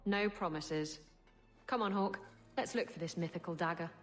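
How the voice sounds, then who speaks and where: A woman's voice: on the low side, normal speed, a little hiss or hum, close to an everyday voice. A woman voicing a brash, saucy and suspicious female pirate captain in a video game, salty and sarcastic.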